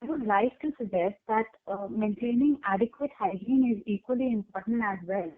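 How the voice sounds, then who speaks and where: A woman role-playing a doctor in a practice exam, explaining advice haltingly with many fillers. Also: medium pitch. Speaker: doctor candidate in a role-play. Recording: tinny phone line.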